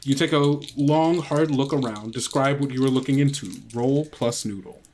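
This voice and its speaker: A man improvising narration as game master of a tabletop role-playing game, in a halting, conversational way. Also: medium pitch, darkish tone, a little hiss or hum.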